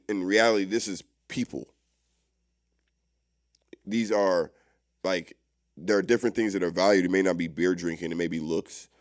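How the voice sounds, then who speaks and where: Very deep-voiced man, insistent. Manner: insistent, emphatic, repeats his points. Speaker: podcast panelist. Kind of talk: casual debate among friends.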